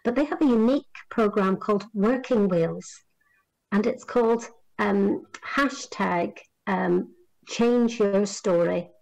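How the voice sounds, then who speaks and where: A woman's voice: mid-range voice, vocal fry, a little mellow, rough recording. Woman from Northern Ireland giving a talk. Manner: measured, with frequent fillers and restarts. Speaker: conference speaker on career guidance. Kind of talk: remote conference address.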